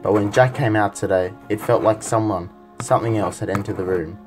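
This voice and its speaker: An actor playing a weary, embittered special agent in a modern radio play, blunt and exasperated. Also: male voice, lowish voice, fairly even intonation, a little fast, darkish tone, soft background music, close to an everyday voice. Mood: weary, shaken.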